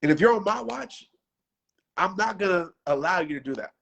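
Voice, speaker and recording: A man's voice: high voice, expressive, normal speed, creaky, fairly bright tone. Fired-up man preaching on a livestream. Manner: passionate, preachy, casual slang. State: losing his voice. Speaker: motivational speaker on a livestream. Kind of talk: unscripted livestream pep talk.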